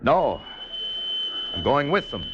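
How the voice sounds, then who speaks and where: An actor playing a cool, watchful undercover agent in a 1950s radio drama, terse and hard-boiled. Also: male voice, medium pitch, very animated, slow, very noisy. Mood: casual, guarded. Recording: dull old radio recording, loud music.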